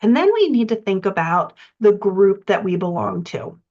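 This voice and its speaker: A woman speaking as a writing coach, giving a measured, methodical instructional explanation point by point. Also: medium pitch, big pitch swings, darkish tone, lo-fi sound.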